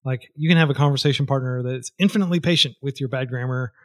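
A man's voice: mid-range voice, fast, fairly bright tone. A man talking casually as a podcast guest, rambling with lots of fillers and restarts.

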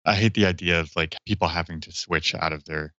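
Very deep, bright-toned man. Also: fairly even intonation, brisk, no room sound. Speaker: podcast co-host. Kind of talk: casual panel chat. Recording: dry, dull lo-fi audio.

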